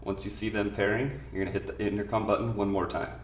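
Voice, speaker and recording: Male tutorial presenter, low-pitched, plain informal delivery, echoey room sound with faint background noise. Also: little pitch movement, fast, far from the mic. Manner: plain, informal spoken explanation. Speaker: product demonstrator. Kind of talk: instructional product tutorial, step by step.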